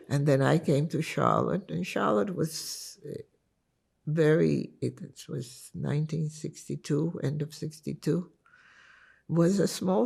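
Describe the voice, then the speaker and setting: Gravelly, husky older woman, slow and reflective. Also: sits low, darkish tone. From Lithuania. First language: Yiddish. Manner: reflective, unhurried storytelling. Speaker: interviewee giving testimony. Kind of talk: recollecting life story in interview.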